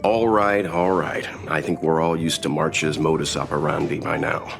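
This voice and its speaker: A principled, middle-aged leader in a space adventure game. The voice is extremely low with a gravelly creak, measured and serious, over faint music. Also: male voice, steady tone. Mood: calm, wry. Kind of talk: dramatic game voice acting.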